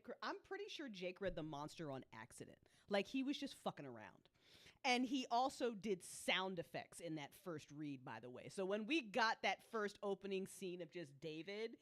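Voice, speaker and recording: A woman who writes audio drama, recalling things casually and informally with the odd swear word. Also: medium pitch. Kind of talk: casual recollection.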